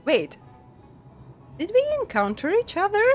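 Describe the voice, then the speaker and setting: A young woman streaming a game and chatting casually with her viewers, giggling often and pausing to restart her sentences. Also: very high voice, big pitch swings, faint music behind. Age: young adult. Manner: giggly, halting with many pauses and restarts.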